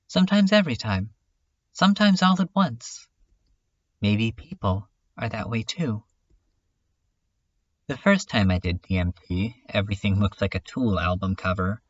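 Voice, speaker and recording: A brooding, self-doubting dreamer, acted by a man speaks in a mid-pitched voice. The delivery is unhurried and halting, like a poetic confession in a fan-dubbed webcomic drama. The recording is dull and lo-fi. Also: no echo. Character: brooding, self-critical dreamer musing on art and self. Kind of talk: slow introspective dramatic monologue in a webcomic fan dub.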